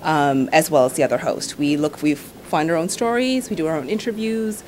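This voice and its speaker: A woman in her thirties, a TV host from Nova Scotia, answering interview questions in a relaxed, chatty way full of "you know" and "um". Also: deep voice, moderate pace, slight background noise. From Canada, Nova Scotia.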